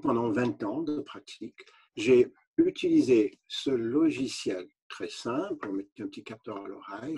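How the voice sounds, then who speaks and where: An older man who grew up speaking English, a retired doctor explaining at length in a measured way, with frequent hesitations and restarts. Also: medium pitch, gravelly, dark tone, husky. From Canada. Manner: unhurried, many hesitations and restarts. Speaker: physician. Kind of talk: explaining to an interviewer.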